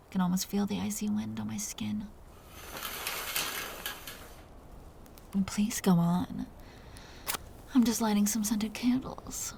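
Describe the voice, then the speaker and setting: Dominant, predatory female vampire played by a woman for intimate audio drama: amused, softly condescending, unhurried, whispery with a creaky edge. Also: medium pitch, heavily acted. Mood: soft, intrigued. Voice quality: breathy, whispery. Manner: slow, teasing, softly condescending.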